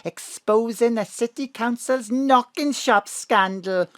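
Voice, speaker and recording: A woman's voice: on the high side, takes it slowly, no echo, clean studio sound. An actress playing a fond, teasing older mother. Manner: affectionate, teasing.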